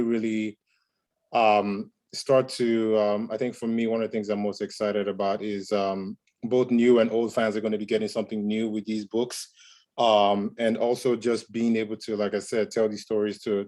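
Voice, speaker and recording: A man from Nigeria, a comics creator speaking on a panel, measured with many fillers. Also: on the low side, a little mellow. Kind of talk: panel talk on a video call.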